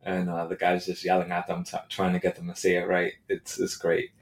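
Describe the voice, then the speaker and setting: A man with a fairly low voice rants in a loose, unscripted way. He swears constantly and mixes sarcasm with mock outrage, and his talk is full of fillers and restarts. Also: completely flat delivery, fairly quick. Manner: crude, sarcastic, profane. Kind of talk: casual solo rant.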